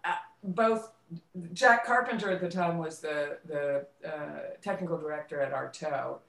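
A middle-aged woman in a conversational interview, recalling things haltingly and repeating words as she thinks. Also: low voice, gravelly, fairly bright tone. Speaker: guest, theater director and actress. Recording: roomy, echoing voice pickup.

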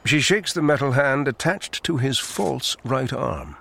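A man's voice: mid-range voice, lively intonation, unhurried, gravelly, fairly bright tone, exaggerated voice. A man reading scripted storybook narration as an audiobook narrator.

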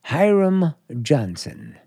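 A folksy, forward hotelkeeper in a radio drama. He talks slowly in a creaky, very lively voice, casual and flirtatious. The sound is close and dry. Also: fairly high pitch, no room sound, heavily acted. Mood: chatty, probing. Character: folksy, forward middle-aged hotelkeeper, a flirtatious male stranger. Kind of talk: radio play acting.

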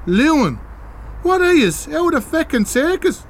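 An actor playing a grumpy, suspicious, confrontational Irish householder in a comedy audio drama. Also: male voice, extremely high pitch, very animated, normal speed, slight background noise, full character voice. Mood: angry. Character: irate, suspicious, foul-mouthed Irish householder.

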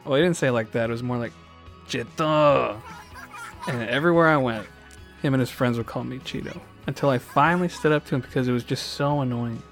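A man's voice: mid-range voice, fairly quick, soft background music. A young man casually interviewing students outdoors on a college campus, asking short friendly questions.

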